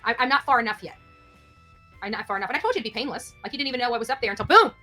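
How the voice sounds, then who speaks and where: A woman's voice: a little high, very fast, pushed and bright, soft background music. A woman YouTuber talking off the cuff in a brash, mocking, repetitive way. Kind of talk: unscripted, taunting online monologue.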